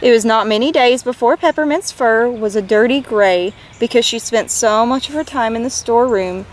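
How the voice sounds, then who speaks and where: A woman reading a storybook aloud to children in an expressive, gentle way, as a read-aloud host. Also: a little high, moderate pace, slight background noise.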